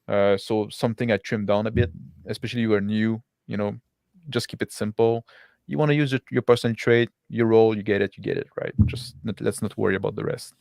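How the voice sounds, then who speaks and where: A man's voice: lowish voice, steady tone, brisk, creaky, a little mellow, no echo. A man hosting a roleplaying stream as game master, chatting casually and rephrasing as he goes. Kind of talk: casual unscripted post-game chat.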